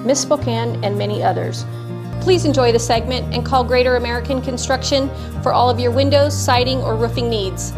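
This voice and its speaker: A woman reading a scripted sponsor advertisement in a polished, even manner. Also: on the high side. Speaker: sponsor ad announcer. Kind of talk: scripted ad read. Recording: read over clear background music.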